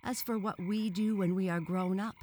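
A woman's voice: medium pitch, fairly even intonation, moderate pace, darkish tone, voice pushed up, lightly acted. A woman voicing a pompous, severe male frog professor who lectures with scorn in a children's storybook. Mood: sarcastic.

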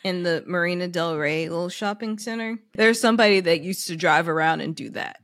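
Female podcast co-host: slightly low voice, casual conversation, dry close sound. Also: brisk, fairly bright tone, no room sound. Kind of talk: casual chat.

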